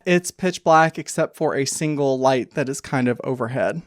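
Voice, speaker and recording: A woman's voice: rumbling low, moderate pace, no echo, clean studio sound. A woman narrating an improvised tabletop game as game master, descriptive and conversational, feeling out phrases as she goes.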